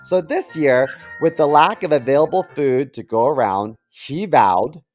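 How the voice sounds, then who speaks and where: A man's voice: on the high side, expressive, measured pace, a little mellow, faint music behind. A man co-hosting a comedy podcast in casual banter and comic story reading. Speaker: podcast co-host.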